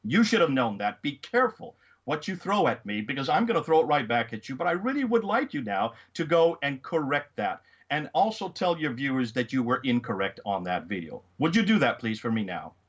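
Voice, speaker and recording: A man's voice: mid-range voice, very animated, a little fast, hardly any pauses, muffled recording. A man in a heated debate over a video call, pressing his point and talking over the others. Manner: insistent, interrupting.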